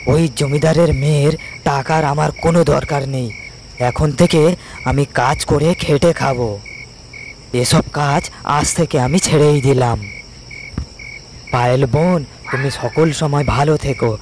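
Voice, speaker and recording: A man's voice: fairly high pitch, darkish tone, slight background noise, close to an everyday voice. A man as a dramatic cartoon hired thug. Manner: emphatic, dramatic. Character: hired male thug, eager for pay, conscience-stricken. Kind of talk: cartoon moral-story acting.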